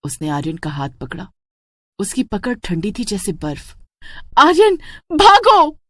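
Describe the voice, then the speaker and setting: A woman's voice: low voice, expressive, normal speed, a little mellow, breathy edge, no echo. A woman giving a dramatic scripted narration of a horror story.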